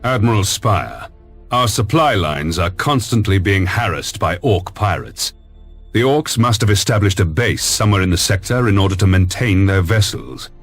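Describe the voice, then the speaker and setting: Deep, husky stern admiral. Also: male voice, animated, moderate pace. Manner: formal, authoritative military briefing. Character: stern commanding male space navy lord admiral. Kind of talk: video game briefing. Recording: music underneath.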